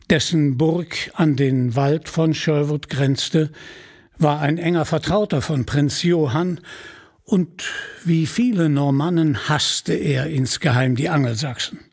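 A man narrating a scripted radio play in a measured, deliberate storytelling manner, with a husky voice. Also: a little high.

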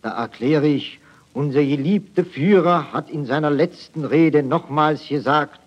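Hapless soldier, muffled old radio. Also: male voice, a little high, measured pace, husky. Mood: weary, earnest. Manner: folksy, mock-naive storytelling. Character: hapless, naive German army private telling his woes. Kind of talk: 1940s satirical radio monologue. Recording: muffled archival 1940s radio recording.

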